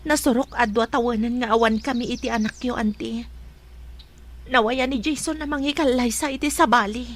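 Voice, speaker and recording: A woman acts a heartbroken young single mother with a high, earnest voice and an Ilocano accent. She speaks respectfully, with radio drama emotion. Faint noise sits behind her. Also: normal speed, lightly acted. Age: young adult.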